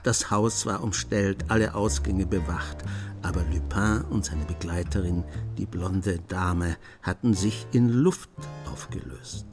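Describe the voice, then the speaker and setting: A writer telling crime adventures in a radio play. His voice is on the low side, phrased with dramatic emphasis, and guitar music plays behind him. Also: measured pace. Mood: suspenseful storytelling. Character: male writer narrating a master thief's adventures. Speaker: narrator.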